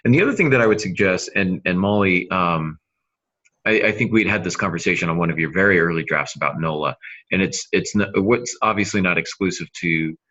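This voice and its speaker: Male podcast host, very low voice, explanatory and measured, slightly dull lo-fi sound. Conversational mentor delivery. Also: expressive, sharp tone. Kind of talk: conversational writing-advice podcast.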